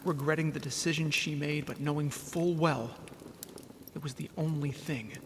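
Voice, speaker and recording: A man's voice: on the high side, steady tone, moderate pace, a little hiss or hum, lightly acted. A man playing an ordinary, earnest, anxious guy in an audio drama, both acting and narrating. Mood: subdued, regretful.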